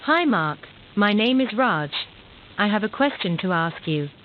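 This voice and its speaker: A woman's voice: mid-range voice, big pitch swings, unhurried, narrow, tinny audio, a little hiss or hum. A woman's machine translation voice played back in a demo video.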